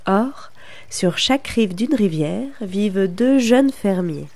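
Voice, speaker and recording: A woman reads a children's tale aloud at an unhurried pace. Her voice has a gravelly, creaky edge, and she tells the story in a calm, deliberate manner. The sound is close and dry. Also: mid-range voice, no echo. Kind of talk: scripted narration of a children's tale.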